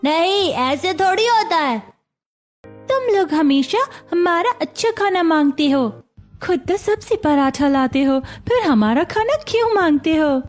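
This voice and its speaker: A woman's voice: very high-pitched, lively intonation, a little slow, soft background music. A woman voicing a pleading, complaining, stubborn young schoolchild in a cartoon story. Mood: boastful. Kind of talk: Hindi cartoon story dialogue.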